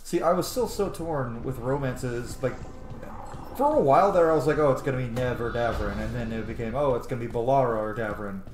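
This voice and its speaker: Expressive man, roomy gameplay commentary. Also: medium pitch, fairly quick, slight background noise. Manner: expressive, emotionally invested. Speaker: gaming streamer. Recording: roomy, game music behind.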